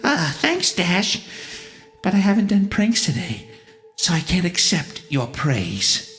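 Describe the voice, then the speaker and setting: A man's voice: high-pitched, sing-song, slow, fairly bright tone, soft background music, exaggerated voice. A man voicing a cheerful, murderous female pink pony in a sing-song, menacingly sweet manner for a story reading. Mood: cheerful coyness. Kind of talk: dramatic comedic story reading.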